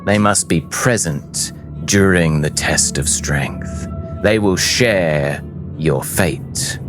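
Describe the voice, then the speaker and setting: A man's voice: sits low, takes it slowly, over music. A man voicing a stern, ceremonious female ship official from a sea-turtle people in a fantasy tabletop game. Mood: stern, formal.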